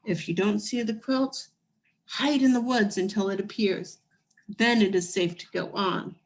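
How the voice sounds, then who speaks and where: A woman voicing a solemn, motherly ghostly guide in a children's storybook, giving measured, urgent instructions. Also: mid-range voice, lightly acted. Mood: urgent guiding.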